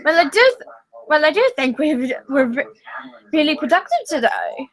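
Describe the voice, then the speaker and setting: A woman voicing a loud, obnoxious teenage boy prankster who talks in a fake British accent. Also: high-pitched, big pitch swings, normal speed, pitched above the performer's own voice. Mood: playful. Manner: boisterous, cooing.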